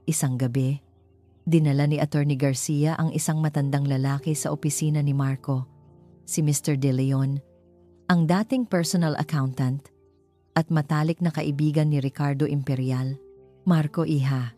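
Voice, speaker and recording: A woman's voice: low voice, fairly even intonation, normal speed, warm tone. A woman narrating a scripted dramatic love story, reading as a storyteller. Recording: music bed under the voice. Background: background music.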